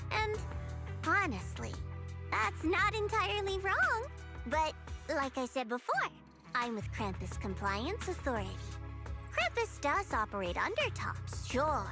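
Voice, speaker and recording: Smug anime game auditor: high, very animated, sharp-tongued and theatrical, clear music underneath. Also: female voice. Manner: theatrical, condescending, drawn-out emphasis. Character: cocky, teasing female authority officer, sharp-tongued auditor.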